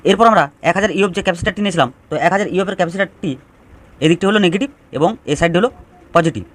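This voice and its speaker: High-pitched man explaining step by step. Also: racing, fairly bright tone. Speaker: DIY electronics tutorial host. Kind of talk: informal step-by-step tutorial narration.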